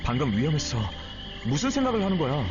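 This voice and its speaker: Young male game hero, caring, earnest, lively intonation, mid pitch, muffled audio, loud music behind. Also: fast talker. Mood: alarmed, urgent. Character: gentle, thoughtful, protective young male companion. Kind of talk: Korean game character voice acting.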